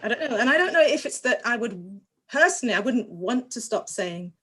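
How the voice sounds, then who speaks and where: A woman's voice: a little high, expressive, a little fast, a bit bright, slightly rough. A woman from London on a discussion panel, speaking conversationally and acting out little exchanges as she talks. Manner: conversational, acts out quoted exchanges.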